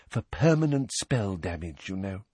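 Audiobook healer played by a man: motherly woman, high lilting voice, soft-edged, fussing and confiding. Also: sing-song, normal speed, breathy edge, no echo. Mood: bright, chatty. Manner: confiding, cheerfully chatty.

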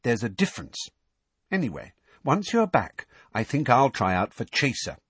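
A man's voice: medium pitch, wildly swooping pitch, normal speed, slightly rough, no echo. A man voicing a plucky, matter-of-fact younger teenage girl in an audiobook, offhand and confident.

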